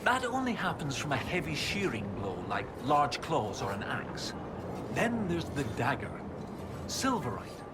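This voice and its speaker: An animated bookish young scholar. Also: male voice, a little high, measured pace. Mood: enthusiastic, explaining. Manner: scholarly, enthusiastic, precise explaining. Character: eager, bookish young male research professor, fussy and earnest. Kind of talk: video game voice acting. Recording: game audio over noisy outdoor ambience.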